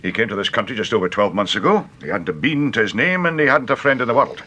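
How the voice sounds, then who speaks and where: Radio drama supporting role: blunt British inspector, hard-edged tone, fast lively delivery. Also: male voice, medium pitch. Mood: forthright, approving. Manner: blunt, forthright. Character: blunt, plain-speaking British police inspector. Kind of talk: radio mystery drama acting.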